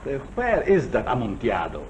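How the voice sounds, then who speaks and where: A man's voice: medium pitch, wildly swooping pitch, brisk. An actor playing a proud, imperious general who boasts of his fine palate, in a late-1940s live television drama. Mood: insistent. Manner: imperious, insistent, scoffing. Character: proud, pushy general, boastful connoisseur of wine. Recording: noisy old 1940s television soundtrack.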